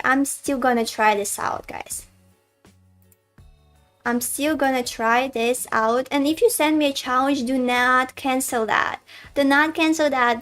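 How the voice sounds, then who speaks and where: A woman from Russia with a Russian accent, commentating her own chess games live and chatting to viewers as she thinks aloud. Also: fairly high pitch. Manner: chatty, addresses chat as guys, thinks aloud. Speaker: chess streamer. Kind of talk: live-stream commentary while playing.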